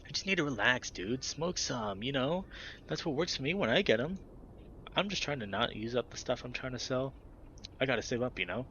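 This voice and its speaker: A man's voice: medium pitch, lively intonation, a little fast, pushed and bright, highs cut off, slight background noise. A man voicing a stout young fennec stoner with a laid-back, swearing drawl.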